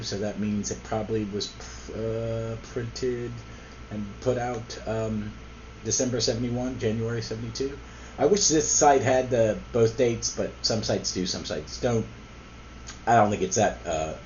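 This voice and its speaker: A man's voice: medium pitch, darkish tone. A man with a slight cold, hosting a casual comic book review, rambling with frequent ums and restarts. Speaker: podcast host. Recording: slightly dull lo-fi recording with faint noise.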